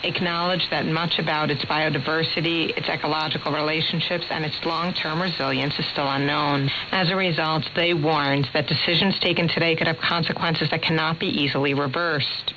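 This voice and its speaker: A woman's voice: low voice, steady tone, normal speed, hardly any pauses. A woman delivering a scripted radio news report as a reporter. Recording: muffled shortwave radio with clear static.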